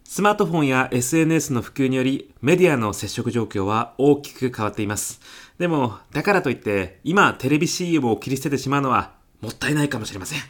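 A man's voice: mid-range voice, lively intonation, fast, fairly bright tone. A man playing an easygoing department manager in a comedy audio drama, at ease with staff and courteous with clients. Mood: courteous, agreeable.

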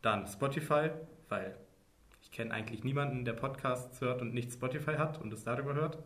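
A man in his early twenties talks in a mid-range voice on a casual podcast. His manner is dry and joking, even when he explains plans. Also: medium pitch, fairly quick. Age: 20s. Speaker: podcast co-host.

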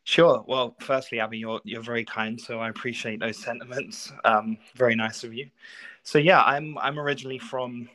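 A man's voice: mid-range voice, steady tone, normal speed, a bit bright, poor audio. A man with a North London English accent, a soccer coach, speaking as a guest in a casual, polite and reflective way, with frequent asides. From North London, England. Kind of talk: casual interview storytelling.

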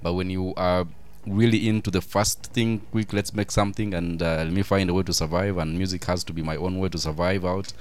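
A man speaking casually as an interview host, halting and repeating words as he thinks aloud. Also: low-pitched, steady tone, slight background noise.

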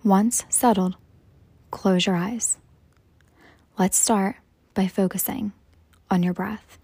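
A woman guides a meditation in a soft, breathy, hushed voice, measured and soothing, leaving long pauses between phrases. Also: mid-range voice, steady tone, no room sound. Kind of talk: slow guided meditation narration.

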